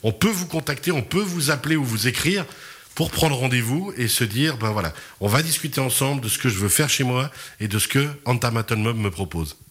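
Guy hosting local radio, laughs a lot, lots of euhs, mid-pitched voice. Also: brisk, breathy edge. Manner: lively, laughs often, hesitant fillers. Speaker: radio show host. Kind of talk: casual radio chat.

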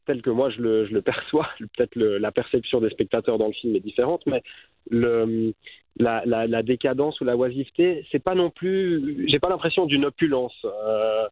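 A man's voice: medium pitch, moderate pace, no room sound. A man in his thirties with a Swiss French accent, a guest filmmaker speaking reflectively with many fillers over a phone line. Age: 30s. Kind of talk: radio interview by phone.

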